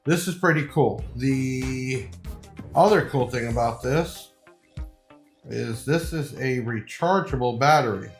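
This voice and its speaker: A man's voice: mid-range voice, takes it slowly. Man reviewing gear in an echoey room. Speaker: product reviewer. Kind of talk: casual unboxing review. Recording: echoey room, faint music behind.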